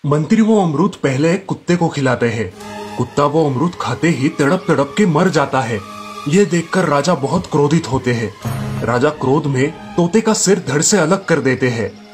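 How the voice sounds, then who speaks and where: Guy narrating a kids story, voice a bit high, light music behind. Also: lively intonation, normal speed.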